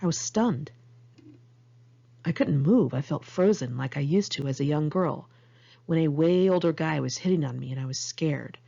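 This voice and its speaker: A woman reads her personal memoir aloud in a candid, conversational style. Her voice sits a little low, with plenty of gravelly fry at the edges. The homemade recording sounds slightly dull and lo-fi. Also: moderate pace, dark tone. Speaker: author reading own memoir. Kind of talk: audiobook narration of a personal memoir, read in one take. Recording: muffled lo-fi amateur recording.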